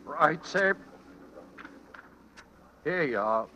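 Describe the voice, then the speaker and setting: A man's voice: on the high side, animated, drawn-out words, sharp tone, exaggerated voice. An actor playing a courteous, deferential British policeman in an old-time radio drama. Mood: respectful. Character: courteous, deferential street policeman on night beat. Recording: very noisy old radio recording.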